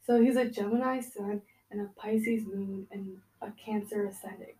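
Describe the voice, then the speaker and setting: A woman co-hosting a podcast, chatting casually in a relaxed, wry way and laughing often. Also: medium pitch, moderate pace, recorded from a distance. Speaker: podcast co-host. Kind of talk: casual chat. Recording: roomy echo.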